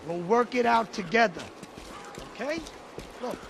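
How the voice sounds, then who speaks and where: A man's voice: extremely high pitch, expressive, a little slow, vocal fry, noisy background, full character voice. An actor playing a cocky male street hoodlum in a video game, haggling in a streetwise, insistent way. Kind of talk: casual game dialogue acting. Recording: game music behind.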